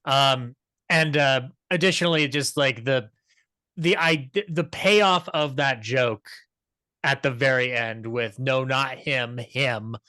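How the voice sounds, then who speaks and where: Guy on a podcast reviewing old comedy, bright forward voice, stumbles and repeats, into it, dry sound. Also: mid-range voice, no room sound. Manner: halting, repetitive, enthusiastic. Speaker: podcast panelist discussing classic comedy episodes. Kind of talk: casual discussion, off-the-cuff review.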